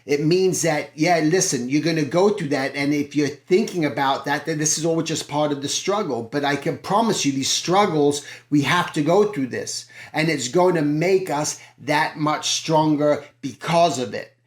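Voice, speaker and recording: A man's voice: a little high, moderate pace, hardly any pauses, reverberant. Earnest man giving a pep talk. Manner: earnest, encouraging, repeats phrases and asks himself questions. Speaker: art teacher and channel host. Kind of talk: motivational talk to camera.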